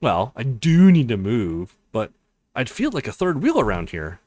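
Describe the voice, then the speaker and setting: A man voicing a hesitant, touched woman in a visual novel, halting and modest as the character speaks. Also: medium pitch, wildly swooping pitch, a little mellow, no echo, clean studio sound. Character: hesitant, touched woman politely declining kindness.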